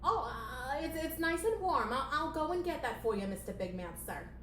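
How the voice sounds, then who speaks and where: Woman as female puppet assistant, high-pitched, timid, stammering, eager to please, roomy echo. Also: sing-song, moderate pace. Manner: soft, stammering, flustered, deferential. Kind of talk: puppet show acting. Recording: roomy echo, background noise.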